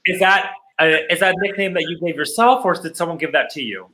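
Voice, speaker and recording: A man hosting a casual chat show, asking slow, hesitant questions. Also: sits high, pushed and bright, rough recording. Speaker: host.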